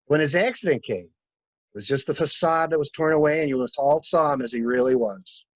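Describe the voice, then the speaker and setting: A man's voice: fairly high pitch, moderate pace, no room sound, close to an everyday voice. A man playing a shrewd, blunt stranger who questions people like a detective in a dramatic mystery reading. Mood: blunt, assertive. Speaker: amateur reader, library staff.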